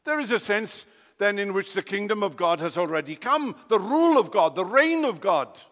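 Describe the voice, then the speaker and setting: Welsh-accented male preacher, fairly high-pitched, emphatic, loud then hushed, long hall reverb. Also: expressive, moderate pace. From Wales. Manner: emphatic, swings between loud declarations and quiet asides. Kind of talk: expository sermon.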